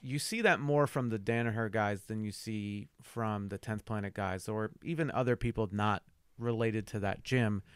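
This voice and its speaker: A man co-hosting a podcast in a lowish, smooth voice. He swears casually and trades teasing remarks. The sound is dry and close to the mic. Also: moderate pace, no echo. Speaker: podcast co-host.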